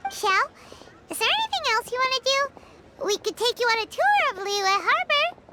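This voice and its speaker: A woman's voice: sing-song, moderate pace, over loud music. A woman voicing a tiny, chirpy, boastful fairy companion with a childlike voice in a video game. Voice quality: squeaky childlike voice. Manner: refers to self in third person. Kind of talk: English video game voice acting.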